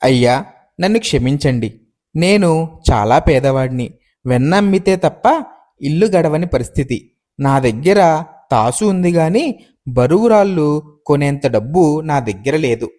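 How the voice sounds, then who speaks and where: A man's voice: fairly high pitch, lively intonation, unhurried, long pauses, a little mellow. An actor playing a poor, humble and honest village milkman in a moral story. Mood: pleading. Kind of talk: acted dialogue in a moral story.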